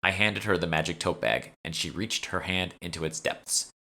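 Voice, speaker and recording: A man with a deep voice narrates a first-person audiobook. His tone is bright and forward, in the manner of an author reading his own story. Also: normal speed.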